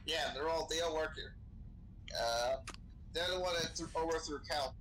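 Bright higher-pitched man in game role-play. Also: moderate pace, slight background noise, poor audio. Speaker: role-player in an online game. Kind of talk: casual in-character role-play chat. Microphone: game voice chat microphone.

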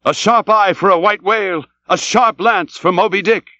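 Fierce, vengeful old sea captain, high and impassioned. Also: male voice, a little slow, gravelly, pushed and bright, no room sound, lo-fi sound, heavily acted. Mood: vengeful, roaring. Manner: vehement, archaic, declamatory speech. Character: old, obsessed, vengeful whaling ship captain, fierce and commanding.

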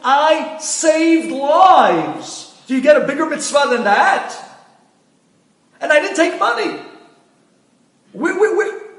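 A man's voice: very high voice, big pitch swings, slow pace, pauses often, pitched above the performer's own voice, exaggerated voice. A man voicing a frantic dead doctor who screams and pleads for his soul. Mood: pleading. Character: frantic deceased male doctor, pleading and desperate. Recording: echoey room, distant mic.

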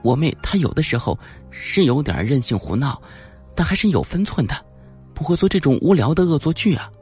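Anxious young woman, played by a man: earnest, worried, lifted pitch, suspense audiobook character. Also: sing-song, fairly quick, darkish tone, slightly rough, faint music behind, exaggerated voice. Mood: insistent. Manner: worried, hesitant, insistent. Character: anxious young woman, earnest older sister seeking help.

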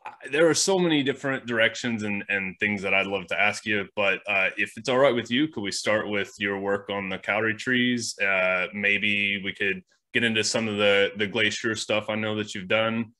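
A middle-aged man hosts a video-call interview in an upbeat, casual way, often pausing on uhs. His voice sits a little low but has a bright, forceful tone. He speaks through a webcam microphone. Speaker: podcast host. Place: indoor workshop with wood stacks.

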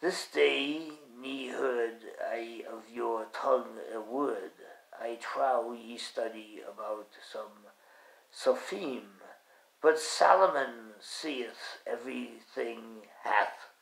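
Halting, very slow, gravelly man reading aloud. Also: mid-range voice, a bit bright. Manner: halting, stumbling over archaic words. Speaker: amateur reader. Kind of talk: reading Middle English verse aloud. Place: kitchen at home, indoors. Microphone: phone or webcam at arm's length. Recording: echoey room.

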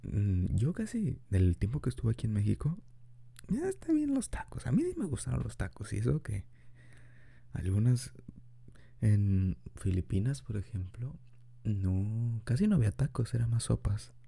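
Young guy doing boyfriend asmr, super dark gravelly voice, chatty and giggly, swings up and down a lot. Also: medium pitch, huge pitch swings, moderate pace, no room sound. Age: young adult. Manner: rambling, chatty, laughing often. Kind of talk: improvised ASMR boyfriend roleplay.

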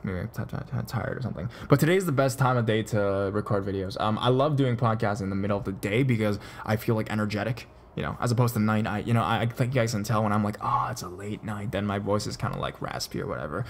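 A man's voice: on the low side, fast talker, hardly any pauses, a little hiss or hum. A man hosting a podcast, chatting casually and rambling, with frequent stammers and restarts. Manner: loose, chatty delivery with many fillers. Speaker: podcast host.

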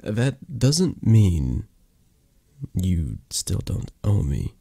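A man playing a flirty, possessive, tender mafia boss in an intimate ASMR roleplay, hushed and halting, drifting into whispers. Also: low voice, very animated, vocal fry, slightly rough, no room sound, studio quality, exaggerated voice. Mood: soft, possessive. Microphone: close microphone, dry sound.

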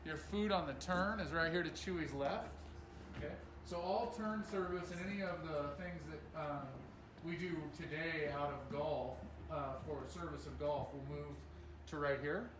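A man's voice: on the high side, unhurried. Man guiding a tour in an echoey shell. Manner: casual, pointing things out, frequent fillers. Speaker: tour guide. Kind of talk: walking site tour. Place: large unfinished timber building under construction. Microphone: camera from a distance. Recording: echoey room, distant mic, background noise.

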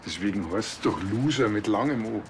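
An actor playing a bumbling, know-it-all small-town police officer with a Bavarian accent, earnest and opinionated. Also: male voice, on the low side, expressive, brisk, a little hiss or hum. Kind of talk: TV crime comedy acting.

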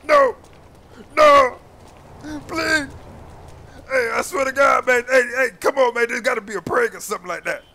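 A man playing a captured young gang member who begs and yells in a frantic voice. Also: very high-pitched, a little fast, slight background noise, heavily acted. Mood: panicked. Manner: pleading, frantic, yelling.

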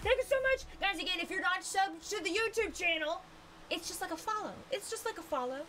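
A woman in her twenties, live-streaming from a gaming room with a desk mic, bubbly and excitable, laughing often. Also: very high-pitched, fairly quick, a little hiss or hum. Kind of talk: casual live-stream chat. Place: gaming room lit with colored lights. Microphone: desk mic with headset. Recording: music under the voice. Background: background music.

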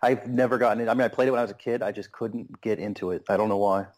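A relaxed man in his thirties from Pittsburgh, with a lowish voice. He chats casually as a podcast guest and wanders through his thoughts with plenty of ums. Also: rapid-fire. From Pittsburgh, USA. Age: 30s. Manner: relaxed, rambling with many ums.

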